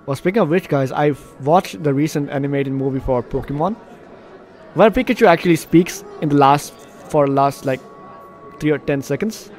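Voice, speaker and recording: A man's voice: a little high, normal speed, a little mellow, faint music behind. A man doing let's play commentary, reading game narration aloud with jokey asides and stumbles over words. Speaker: gaming video host.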